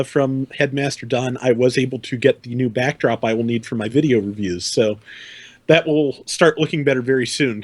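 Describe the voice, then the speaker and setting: A man's voice: mid-range voice, dry recording. A man chatting casually as a co-host on a podcast. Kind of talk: casual chat.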